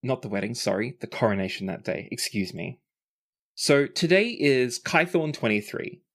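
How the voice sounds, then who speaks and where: A man's voice: medium pitch, expressive, normal speed. A man narrating a tabletop role-playing game as game master.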